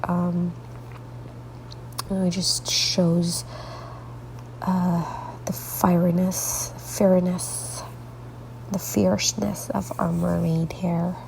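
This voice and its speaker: Woman, congested and sleepy, deep vocal fry, mellow tone; soft rambling tutorial host who slips into near-whispers. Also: flat delivery, slow, pauses often, a little hiss or hum, poor audio. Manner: soft, rambling, drifts into half-whispered asides. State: congested, stuffy nose; sleepy late at night. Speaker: artist hosting a coloring tutorial. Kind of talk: casual coloring tutorial narration.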